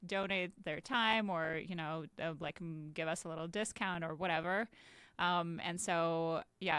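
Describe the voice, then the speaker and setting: A woman's voice: lowish voice, a bit bright, clear, resonant tone, no echo. A young woman from Ukraine, a guest on a podcast, talking casually with many fillers and small laughs. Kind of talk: casual interview talk.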